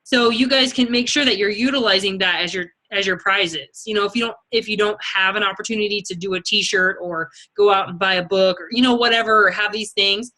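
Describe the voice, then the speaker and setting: Chatty lady giving her sales team a casual pep talk, bright punchy voice, lots of you know and okay. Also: mid-range voice, brisk, hardly any pauses, poor audio. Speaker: team leader and fitness sales coach.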